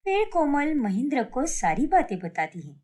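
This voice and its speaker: A woman's voice: high voice, big pitch swings, normal speed, dark tone. A woman reading scripted narration for a cartoon family story.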